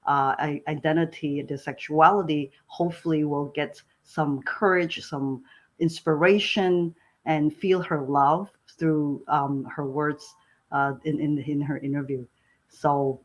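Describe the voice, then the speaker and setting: Hesitant woman co-host from Malaysia. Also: low voice. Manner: hesitant, many fillers. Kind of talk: casual spoken remarks.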